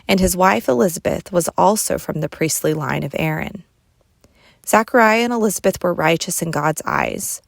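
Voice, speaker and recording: Low-pitched woman reading scripture, creaky voice. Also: no room sound. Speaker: scripture reader, church member.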